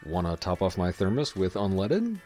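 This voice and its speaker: Man as a deep-voiced laconic small-town sheriff. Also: wildly swooping pitch, normal speed, darkish tone, soft background music. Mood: wry, flirtatious. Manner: laconic, wry. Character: easygoing, flirtatious male small-town sheriff, dry-witted. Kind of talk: radio drama acting.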